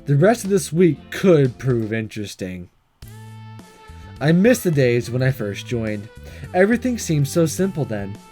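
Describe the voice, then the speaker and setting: A man reading a visual novel aloud as a gaming host, mixing expressive narration with casual commentary. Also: mid-range voice, unhurried, soft background music.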